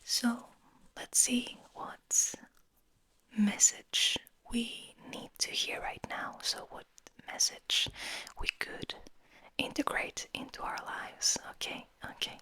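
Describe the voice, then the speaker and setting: A woman hosting ASMR in a breathy whisper, speaking in a soft, soothing, unhurried manner. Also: fairly high pitch, level tone. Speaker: ASMR host.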